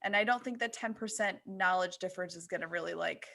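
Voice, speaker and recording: A woman's voice: lowish voice, quick pace, vocal fry, a bit bright. A woman co-hosting a podcast, talking casually with the group. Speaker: podcast co-host. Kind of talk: casual panel podcast talk.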